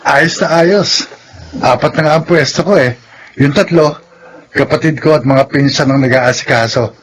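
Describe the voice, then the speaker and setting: A man's voice: a little high, moderate pace, natural voice, low-quality recording. A man playing a humble, earnest ex-convict father rebuilding his life in a radio drama. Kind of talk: classic radio drama acting.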